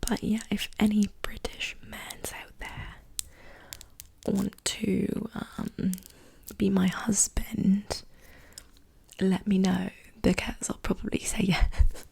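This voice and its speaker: A young woman in a soft-spoken, whispery ASMR chat, breathy and casual, rambling along as host. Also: medium pitch, flat delivery, slow pace, pauses often.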